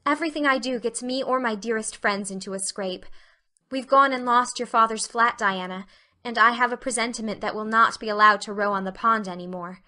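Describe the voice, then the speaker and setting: A spirited teenage girl as a woman reader plays her in an audiobook. Her voice is bright and fairly high, and she speaks with earnest, theatrical emphasis. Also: fairly quick. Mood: indignant, remorseful. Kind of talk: audiobook character acting.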